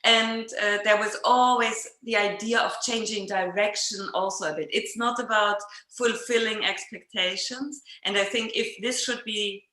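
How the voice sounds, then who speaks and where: A woman's voice: medium pitch, fairly even intonation, unhurried, hardly any pauses, bright, forceful tone. A woman in casual conversation, speaking hesitantly and searching for her words. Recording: roomy, echoing sound.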